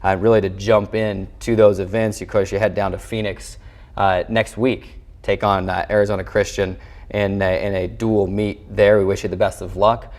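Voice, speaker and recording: A man's voice: on the low side, flat delivery, normal speed, darkish tone. An American man hosting a sports show, interviewing and presenting in a broadcast style. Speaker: sports show host and play-by-play voice.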